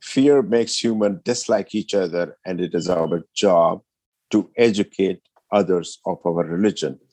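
A man's voice: sits low, measured pace, a little mellow, slightly rough, no echo. A man from Ethiopia, speaking as a guest in a podcast interview. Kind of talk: interview answers.

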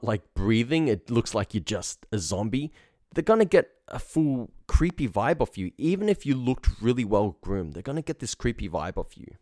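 A man's voice: mid-range voice, darkish tone, dry recording, studio quality. A man hosting as a confidence coach, giving informal instruction in a blunt, slangy way. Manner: blunt, slangy, acts out example lines. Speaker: dating confidence coach, show host.

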